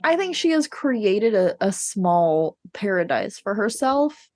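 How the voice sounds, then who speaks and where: A woman's voice: medium pitch, big pitch swings, slow, darkish tone, dry recording, clean studio sound. A woman co-hosting a book discussion podcast, chatting casually and arguing her opinions insistently. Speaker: podcast co-host.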